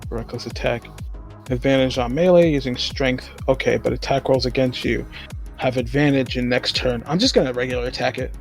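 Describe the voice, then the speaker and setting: A man's voice: mid-range voice, fairly even intonation, brisk, faint music behind. A man playing a tabletop game, chatting casually in a joking, informal way.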